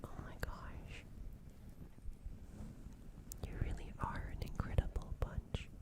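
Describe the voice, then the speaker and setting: A performer voicing a gentle, friendly alien in a breathy whispered ASMR roleplay, soothing and rambling, repeating words. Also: takes it slowly, natural voice. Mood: reassuring, admiring. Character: gentle friendly alien comforting a crashed human.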